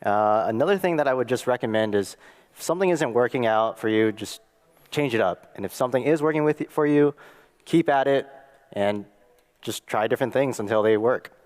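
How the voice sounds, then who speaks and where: A man's voice: medium pitch, a little fast, darkish tone. A young man speaking at a conference as a mentee, giving plain, earnest advice. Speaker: junior database administrator, mentee. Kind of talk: conference talk. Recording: long hall reverb.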